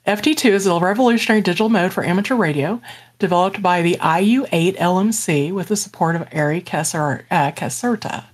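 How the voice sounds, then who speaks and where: A woman with a somewhat low voice reads technical news items on a podcast in a plain, steady way. Between items she makes dry, joking remarks. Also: fairly quick. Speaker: podcast co-host. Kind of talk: reading news items and chatting.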